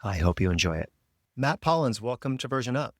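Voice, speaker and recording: A man hosting a podcast, reading a scripted intro and then asking questions in a relaxed way. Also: mid-range voice, fairly quick, dry recording, clean studio sound. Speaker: podcast host, lawyer. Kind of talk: podcast interview.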